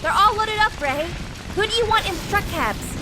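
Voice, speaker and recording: A woman playing a brisk, helpful young fighter in an audio drama. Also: very high-pitched, animated. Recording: noisy rain ambience behind the voice.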